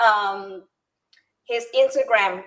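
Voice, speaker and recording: A woman's voice: mid-range voice, fairly even intonation, takes it slowly, a bit bright, poor audio. A woman in her thirties hosting a casual live-stream chat over a video call, laughing often as she talks. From Cambodia. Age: 30s. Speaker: host.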